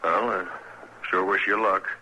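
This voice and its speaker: An actor playing a shrewd, touchy frontier gambler in an old-time radio western. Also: male voice, sits low, fairly even intonation, a little slow, bright, forceful tone, full character voice, rough recording. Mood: apologetic. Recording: old radio drama, faint background noise.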